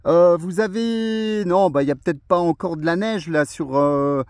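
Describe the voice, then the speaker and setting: A man's voice: high voice, normal speed, darkish tone, no room sound, clean studio sound. A man talking on a portable ham radio from a mountain summit, chatty and friendly with many fillers. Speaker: radio amateur operating outdoors. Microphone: portable radio, filming a video.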